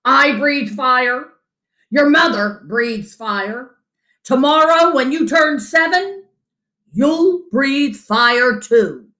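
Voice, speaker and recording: A woman voicing a proud, demanding dragon father for a storybook, with emphatic, drawn-out declarations. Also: high-pitched, lively intonation, pushed and bright, exaggerated voice. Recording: roomy home echo.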